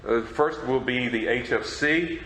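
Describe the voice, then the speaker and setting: A man's voice: mid-range voice, unhurried, a bit bright. A man co-presenting an informal talk, explaining things in a conversational way. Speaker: co-presenter, radio program collector. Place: indoor presentation room. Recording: echoey room, faint background noise.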